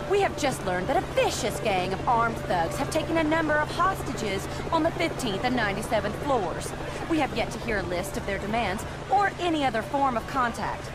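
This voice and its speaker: An actress playing a brisk television news reporter in a video game, formal and urgent. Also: female voice, a little high, big pitch swings. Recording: loud music behind the voice.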